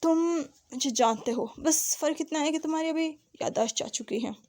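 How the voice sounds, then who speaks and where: A woman voicing a calm, possessive young husband who speaks slowly and softly to soothe. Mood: cool assured. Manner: slow, low, soothing and hushed.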